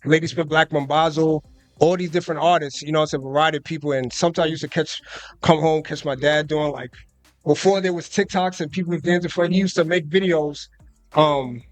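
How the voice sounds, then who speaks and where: A man's voice: a little high, a little fast, no room sound. A young man from the United States, a guest rapper, talking loosely in a casual radio interview with plenty of fillers. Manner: relaxed, loose, with many fillers and "you know what I'm saying". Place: live concert radio booth.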